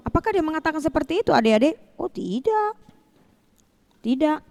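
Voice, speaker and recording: A woman telling a Bible story to children as a Sunday school teacher, in an animated way with rhetorical questions and repetition. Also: high-pitched, brisk.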